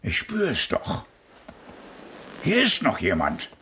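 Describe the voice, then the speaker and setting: An irritable father in a radio play, speaking slowly with wide swings in pitch. His delivery is heavy and suspicious, with a sharp edge, over some background noise. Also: wildly swooping pitch, exaggerated voice. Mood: suspicious, uneasy. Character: irritable, suspicious father, stern head of the household. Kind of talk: audio drama acting.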